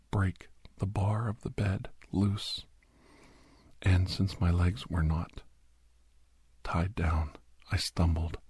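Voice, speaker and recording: A man playing a grim doctor recounting a bloody werewolf rescue in a hushed, breathy, halting ASMR storytelling style. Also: sits low, dry recording, full character voice. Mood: intense, hushed. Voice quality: breathy, husky near-whisper. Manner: hushed, halting, dramatic delivery. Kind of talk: whispered ASMR horror storytelling.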